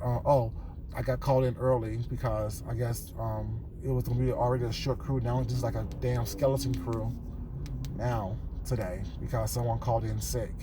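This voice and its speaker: A man recording a casual vlog on his way to work, with a groggy morning voice, rambling in a relaxed way and often asking "you know what I'm saying". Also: medium pitch, moderate pace. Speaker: vlogger. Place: car on the way to work. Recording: car and road noise behind.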